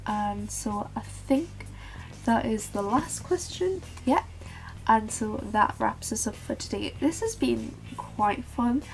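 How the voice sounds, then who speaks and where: Calm, flat-toned teenage girl vlogging. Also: fairly high pitch, slow pace, vocal fry, faint music behind, rough recording. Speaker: book vlogger. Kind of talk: casual book tag vlog.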